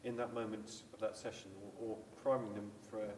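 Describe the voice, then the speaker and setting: A man with a lowish voice explains examples from his hospital work in a calm, measured way. He sounds a little far from the mic, in a room with noticeable echo and a faint background hiss. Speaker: hospital clinician. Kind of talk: panel discussion. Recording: echoey room, distant mic, faint noise.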